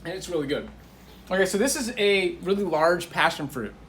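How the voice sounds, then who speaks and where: A man's voice: sits high, animated, fairly quick, a bit bright. A man giving a casual, instructive and enthusiastic demonstration, explaining things as a guest presenter. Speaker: guest presenting tropical fruits. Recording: roomy echo, clear background noise.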